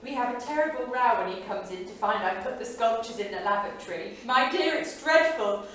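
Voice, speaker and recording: A woman's voice: fairly high pitch, expressive, a little fast, a bit bright. A woman playing an eccentric, unstable bohemian wife, confessing frankly in a staged monologue. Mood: giddy, confiding. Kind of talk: staged dramatic monologue reading. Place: small studio theatre, staged reading. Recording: echoey theatre, distant mic, faint noise.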